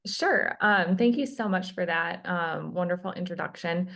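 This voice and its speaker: A woman's voice: on the low side, normal speed, creaky, a bit bright. A woman in her thirties from Minnesota, a medical fellow answering interview questions earnestly, with many filler ums. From Minnesota, USA. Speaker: hematology fellow, guest.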